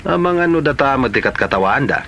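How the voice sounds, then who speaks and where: A man's voice: medium pitch, animated, fast talker, pushed and bright, dull audio. A man acting as a suspicious, easily offended, scheming husband in an Ilocano comedy drama. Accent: Filipino, Ilocano.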